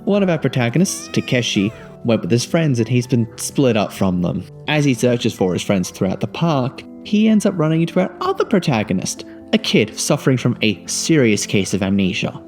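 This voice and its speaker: A man's voice: medium pitch, sing-song, normal speed, a little mellow, faint music behind. A man reading a scripted video game review as narration.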